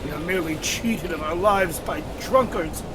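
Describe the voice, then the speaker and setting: A man's voice: high voice, moderate pace, natural voice. A man playing a haughty, scornful nobleman, declaiming contemptuously in a Shakespearean audio drama. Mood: bitter. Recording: clear noise, online remote recording.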